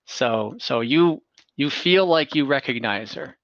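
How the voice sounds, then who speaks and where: A man's voice: mid-range voice, expressive, a little slow, a bit bright, no echo. A man casually narrating a tabletop game as game master over a video call. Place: home room, indoors, on video call. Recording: dull, lo-fi video-call audio.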